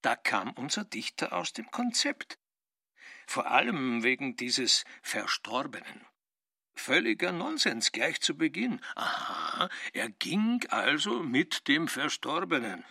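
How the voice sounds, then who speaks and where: Sardonic nitpicker, raspy, creaky, lively pitch swings, radio drama, mocking. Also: male voice, dry recording, studio quality, full character voice. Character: sardonic, nitpicking commentator mocking a poet's writing. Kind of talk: radio play narration.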